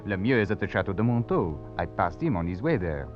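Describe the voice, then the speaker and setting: An actor playing a smooth-talking, ingratiating village shopkeeper in a vintage radio drama. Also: male voice, low-pitched, sing-song. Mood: suave, reassuring. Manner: suave, unhurried, ingratiating. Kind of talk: 1940s-50s radio drama acting. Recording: muffled old radio recording with music behind.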